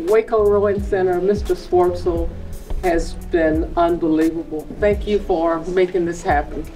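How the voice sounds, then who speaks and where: A woman's voice: fairly low pitch, fairly even intonation, measured pace, mellow, distant mic, faint music behind, poor audio. A woman school official, formally thanking people. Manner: grateful, formal.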